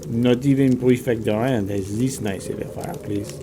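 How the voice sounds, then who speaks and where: A man's voice: mid-range voice, fairly even intonation, moderate pace, background noise, lightly acted. A man playing a smug, falsely genial blackmailing town official in an audio drama, with pompous politeness. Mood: blustery, then admiring.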